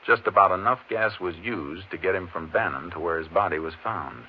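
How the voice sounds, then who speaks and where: Very deep-voiced, methodical Texas Ranger. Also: male voice, husky. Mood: matter-of-fact, assured. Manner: terse, measured, matter-of-fact. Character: steady, methodical male Texas Ranger investigator. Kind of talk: 1950s radio western detective drama acting. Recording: dull, noisy old 1950s radio recording.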